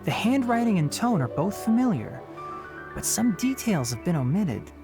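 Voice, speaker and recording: Thoughtful young scholar over music. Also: male voice, high-pitched, very animated. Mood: concerned. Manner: measured, polite, articulate. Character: earnest, thoughtful young male scholar and forest watcher, loyal to his master. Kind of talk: scripted video game cutscene acting. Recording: music playing behind the voice.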